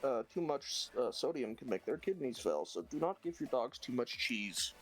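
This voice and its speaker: Male guest, deep natural voice, jokey and boastful, casual chat, faint music behind. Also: sing-song, moderate pace, slightly rough. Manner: jokey, boastful, laughs easily. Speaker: guest streamer.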